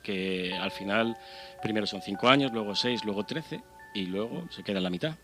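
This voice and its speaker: Lowish-voiced Spanish man chatting on radio. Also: moderate pace. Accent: Spain. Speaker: radio panelist. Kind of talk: casual radio discussion. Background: music.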